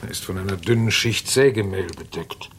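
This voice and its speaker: An actor playing a coolly questioning suspect in a German radio mystery, speaking in a deliberate, dry and probing way. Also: male voice, low voice, a bit bright, slight background noise. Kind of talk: German radio crime drama acting.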